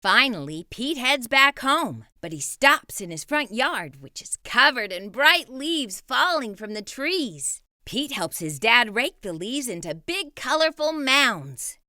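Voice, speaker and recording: Storytime reader, woman, bright and animated, fairly high. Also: slow pace, hardly any pauses, dry recording, clean studio sound. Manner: lively, expressive reading. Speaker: children's book reader. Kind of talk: read-aloud storytelling.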